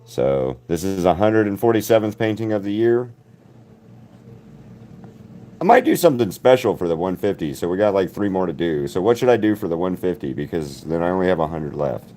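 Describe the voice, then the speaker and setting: A man with a deep voice, chatting casually with viewers while he paints on a livestream. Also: very animated, a little fast, warm tone. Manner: rambling, answers viewers' chat by name. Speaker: artist hosting a livestream.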